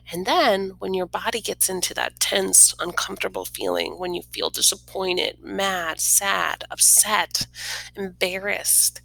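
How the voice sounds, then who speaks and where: A woman reading a children's picture book aloud expressively, pausing to explain things simply and informally to young listeners. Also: mid-range voice, creaky, bright, forceful tone, no echo, low-quality recording. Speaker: read-aloud storyteller.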